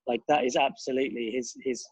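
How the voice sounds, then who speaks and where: A man's voice: medium pitch, steady tone, brisk, breathy edge, no echo. A man chatting casually as an interview guest, emphatic and informal, restarting his phrases.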